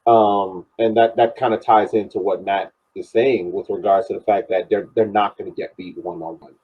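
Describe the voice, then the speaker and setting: A man on a podcast panel casually analysing sport, measured and searching for words, on a dull lo-fi connection. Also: on the low side, fairly even intonation, slightly rough.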